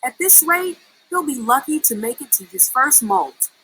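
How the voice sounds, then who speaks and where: A fretful old male snake from a storybook, read by a woman. The delivery is animated and bright, full of scolding complaint, with some background noise. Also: sits high, normal speed, voice pushed up, exaggerated voice, poor audio. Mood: disapproving.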